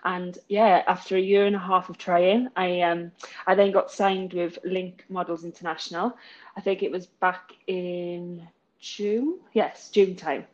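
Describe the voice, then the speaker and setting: A woman's voice: on the low side, steady tone, unhurried, muffled recording. A woman in her thirties with a Welsh accent, chatting casually in an interview with lots of fillers and giggles. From South Wales. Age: 30s. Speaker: interviewee, new model.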